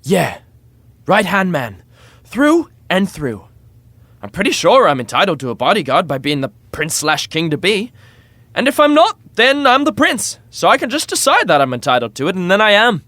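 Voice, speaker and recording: A man's voice: high-pitched, wildly swooping pitch, moderate pace, pushed and bright, dry recording, heavily acted. An actor playing a young, tipsy, self-deprecating party-boy prince in a modern comedy audio drama, with slangy, boyish bravado. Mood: excited, insistent. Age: young adult.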